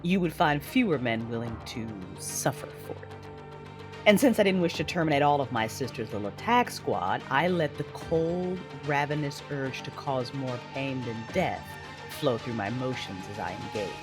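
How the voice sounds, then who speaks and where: An actress playing a cruel, cold cyborg warrior mother in an audio drama, measured, menacing and commanding. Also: extremely low pitch, expressive. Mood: cold menace. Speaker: narrator of own story in audio drama. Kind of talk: dramatic first-person narration and acting. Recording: music bed behind voice.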